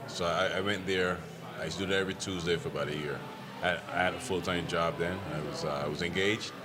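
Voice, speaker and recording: A man's voice: steady tone, fast, a loud crowd. A middle-aged man from Barbados, a comedian guest telling stories in casual interview chat. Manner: storytelling, acts out quoted voices in a high pitch.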